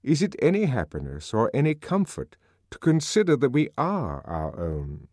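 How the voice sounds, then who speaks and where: A man's voice: medium pitch, huge pitch swings, moderate pace, a little mellow, dry recording, pitched above the performer's own voice. A man voicing an urbane, wry male controller in an audiobook, lecturing genially with dry, teasing authority. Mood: solemn reading.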